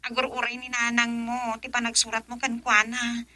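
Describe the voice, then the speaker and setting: A woman's voice: on the high side, completely flat delivery, normal speed, sharp tone. A woman acting an earnest, insistent young friend in a radio drama. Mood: reproachful, concerned.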